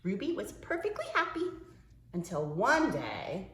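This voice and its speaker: A woman preschool teacher reading a picture book aloud to young children, expressively, with dramatic pauses and stretched-out words. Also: mid-range voice, huge pitch swings, echoey room, a little hiss or hum.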